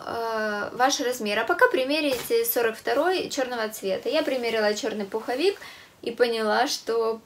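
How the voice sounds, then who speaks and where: Chatty young woman with a stuffy nose. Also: fairly high pitch. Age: young adult. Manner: chatty, enthusiastic, frequent hesitation sounds. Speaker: fashion vlogger. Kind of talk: casual chat to camera. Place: small room with a clothes rack, indoors.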